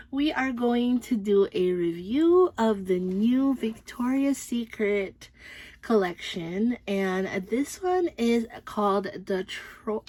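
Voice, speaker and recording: A woman's voice: a little high, darkish tone, no room sound, low-quality recording. A young woman from the Philippines with a Filipino accent, chatting upbeat through a fragrance review with many fillers. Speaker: beauty vlogger. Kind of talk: casual product review.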